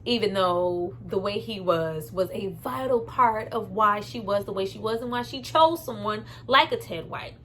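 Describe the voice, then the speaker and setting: A woman's voice: mid-range voice, expressive, moderate pace, few pauses, fairly bright tone. A woman giving a casual, opinionated movie review in a candid, conversational and emphatic way. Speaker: film reviewer and host.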